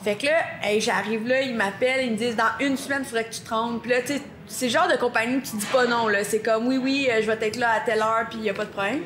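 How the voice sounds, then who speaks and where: Lively Québécois woman, fairly high voice. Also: fast, hardly any pauses, roomy sound, a little hiss or hum. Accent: Québécois French. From Quebec, Canada. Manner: lively storyteller, laughs often. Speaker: guest. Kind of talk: casual chat.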